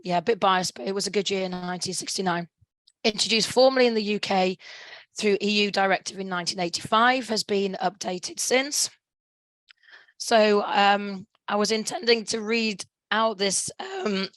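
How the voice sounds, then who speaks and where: A woman in her fifties presenting a webinar lecture, croaky as she is losing her voice, speaking in a measured way with hesitations and throat clearing. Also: mid-range voice, fairly bright tone, dry recording, rough recording. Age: 50s.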